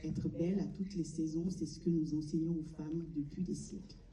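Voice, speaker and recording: A woman from Mali delivering a solemn, deliberate theatrical monologue, pausing between short phrases. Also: low voice, steady tone, warm tone, slight background noise. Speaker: amateur stage performer. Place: large cinema auditorium before an audience. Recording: echoey hall, distant mic.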